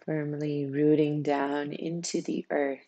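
A meditation coach guiding a practice in a soothing way, with unhurried phrases, long pauses and a husky, gravelly voice. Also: medium pitch, little pitch movement, dark tone, no echo, dull audio. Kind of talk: guided meditation.

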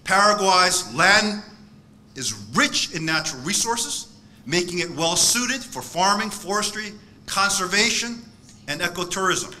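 A man, delivering a measured, emphatic keynote speech at a podium microphone in a conference hall. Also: high voice, animated, pushed and bright, a little hiss or hum. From born in South Korea, raised in the United States. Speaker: keynote speaker. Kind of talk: formal prepared speech.

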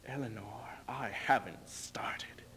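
A man's voice: on the low side, slow pace, pushed and bright, whispered. A young man playing a proud, sardonic aging king in a stage reading, mocking and declamatory. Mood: mocking, smug. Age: young adult. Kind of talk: theatrical duo interpretation, stage reading. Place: indoor stage in front of curtain.

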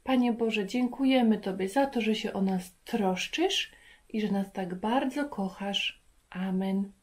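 A woman in her thirties hosting a children's lesson, talking to young viewers in an animated way and asking them questions. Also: mid-range voice, unhurried. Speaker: children's Bible lesson host. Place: carpeted room at home.

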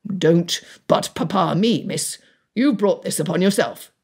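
A woman voicing a stern, exasperated gentleman father in an audiobook, with a gruff, commanding and formal manner. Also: on the low side, a little slow, heavily acted. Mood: angry, unyielding. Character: stern, exasperated titled father of a wilful daughter. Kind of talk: audiobook character dialogue.

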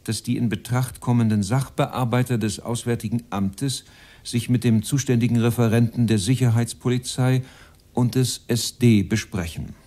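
An actor playing a cold, measured Nazi official addressing a meeting in a radio play. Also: male voice, mid-range voice, fairly even intonation, mellow, lightly acted. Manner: measured, bureaucratic.

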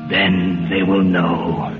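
Very slow, deep shadow creature. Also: male voice, flat delivery. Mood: gloating, ominous. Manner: slow, drawn-out, ominous. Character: menacing male shadow creature, gloating and deathly slow. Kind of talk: 1950s radio horror acting. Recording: tinny 1950s radio recording, echoey, loud music.

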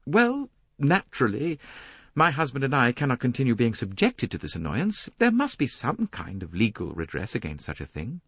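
A man voicing an impatient, indignant wealthy young married woman with a sharp, agitated manner in an audiobook. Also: high-pitched, wildly swooping pitch, moderate pace, husky, no echo, voice pushed up, heavily acted.

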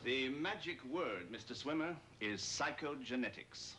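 A man acting a calm, persuasive, intense scientist doctor in a 1970s television drama, speaking earnestly and deliberately. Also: mid-range voice, slight background noise. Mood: grave, persuasive. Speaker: actor in a television film. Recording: distant old TV film sound.

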